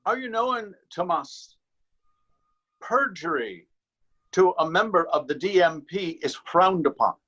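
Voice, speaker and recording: A suspicious, self-important policeman played by a male actor in a readers theater play. He questions deliberately, on a slightly dull recording. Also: high-pitched, lively intonation, fairly bright tone, full character voice. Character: officious, suspicious Dublin policeman. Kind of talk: readers theater stage acting.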